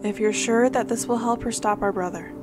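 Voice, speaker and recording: A woman voicing a cautious goddess, over loud music. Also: on the high side, brisk, close to an everyday voice. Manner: hesitant, careful. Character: cautious goddess sister, protective and worried.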